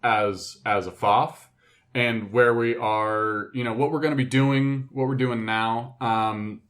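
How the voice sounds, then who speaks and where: A man talks as a podcast co-host in loose, informal group chat. His voice sits at an average pitch, and his speech is slangy, with swearing thrown in. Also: steady tone, slow, fairly bright tone.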